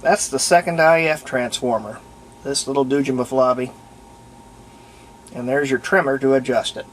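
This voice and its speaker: Plainspoken man over clear background noise. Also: medium pitch, fairly even intonation, moderate pace, low-quality recording. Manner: unscripted, plainspoken, thinking aloud while working. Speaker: host of a radio restoration video. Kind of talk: hands-on repair demonstration, casual narration.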